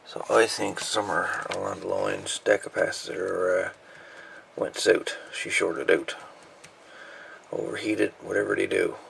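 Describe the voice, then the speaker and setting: A man giving a casual, unhurried, folksy hands-on repair narration, thinking aloud as he goes. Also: low voice, little pitch movement, vocal fry, fairly bright tone, slight background noise, rough recording. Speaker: hobbyist radio repairer presenting a video.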